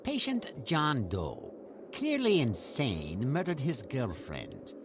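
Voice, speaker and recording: An actor playing a cold, menacing male villain in a horror audio drama, speaking deliberately. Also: mid-range voice, huge pitch swings, background noise, full character voice.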